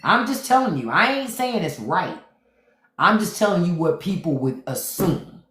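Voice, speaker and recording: Low-voiced woman chatting on a livestream. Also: very animated, moderate pace, a bit bright, echoey room. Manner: emphatic, repeats phrases, reads chat comments aloud. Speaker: podcast host. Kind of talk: casual livestream chat. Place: home room on a livestream.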